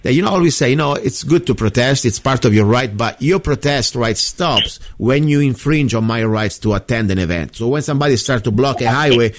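A man's voice: mid-range voice, fairly quick, hardly any pauses. A man from Italy with an Italian accent, hosting a radio call-in show in an emphatic, repetitive way. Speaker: radio talk show host. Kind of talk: opinionated call-in chat.

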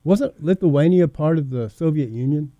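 A man hosting talk radio who needles his co-host with quick questions and stumbles into restarts. His voice sits a bit high but dark, and the recording is dry with a slightly dull, muffled top. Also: no echo. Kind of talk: casual talk radio banter.

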